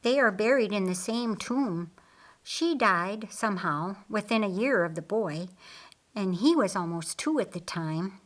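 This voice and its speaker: A woman voicing a kindly, earnest male master of a household in an audiobook, speaking in a measured, formal way. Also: medium pitch, lively intonation, close to an everyday voice.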